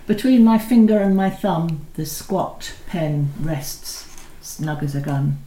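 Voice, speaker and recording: Brief: woman reader, warm low tone, unhurried and expressive, recorded in an echoey room. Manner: measured, expressive reading, slowing on key lines. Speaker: poetry reader. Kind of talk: poetry recital with spoken introductions. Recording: roomy echo.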